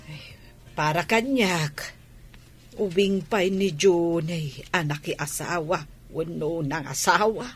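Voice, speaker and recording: Woman acting a husky Ilocano village mother. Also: on the low side, takes it slowly, faint music behind. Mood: dismissive, chatty. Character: older village mother fretting about her married child. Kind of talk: Ilocano radio drama dialogue.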